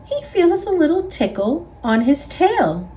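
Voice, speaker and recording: A woman reading a children's picture book aloud in an expressive, deliberate storytelling way for young listeners. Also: high-pitched. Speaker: storybook reader. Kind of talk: children's read-aloud narration.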